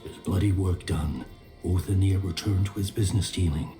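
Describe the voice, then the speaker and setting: Man doing a solemn deep storyteller narrating a dark fantasy game, hushed n deliberate, music behind. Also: steady tone, lightly acted. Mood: grave. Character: grave male storyteller recounting dark tales to a friend. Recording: music clearly under the voice.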